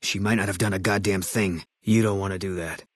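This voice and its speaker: A middle-aged man playing a bitter, grieving ex-soldier sniper in a video game, speaking in terse, clipped, grim lines with a husky voice. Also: low-pitched, fairly even intonation, a little fast, dry recording, clean studio sound.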